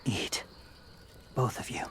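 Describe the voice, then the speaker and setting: An actor playing a quiet, guarded young male wanderer who speaks in terse, subdued fragments in a video game drama. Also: slow pace, a little hiss or hum, lightly acted.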